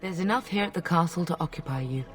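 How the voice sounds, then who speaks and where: An actress playing a middle-aged noblewoman mother, gentle but firm, in a video game. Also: lowish voice, quick pace, recorded from a distance, faint music behind, close to an everyday voice. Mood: gently firm.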